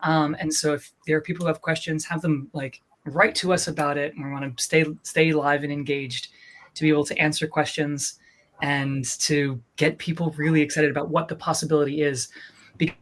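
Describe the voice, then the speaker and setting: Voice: mid-range voice, flat delivery, brisk, a bit bright. A person talking informally and enthusiastically as part of a production team promoting a project. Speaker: audiobook production team member.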